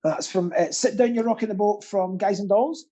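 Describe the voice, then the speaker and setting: A man's voice: high voice, normal speed, mellow. A middle-aged man hosting a live quiz with camp, rambling, self-deprecating banter. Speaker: quiz host.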